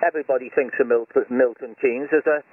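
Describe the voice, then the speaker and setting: A man's voice: medium pitch, fairly even intonation, fast. A man chatting casually over amateur radio in a rambling, hesitant way. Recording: tinny ham radio signal with hiss. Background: radio hiss.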